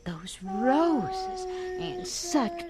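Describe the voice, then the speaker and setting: A woman's voice: very high voice, very animated, extremely slow, heavily acted. An actress playing a curious, polite woman neighbor who keeps asking questions, in a radio drama. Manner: inquisitive, exclaiming. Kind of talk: 1940s radio drama acting.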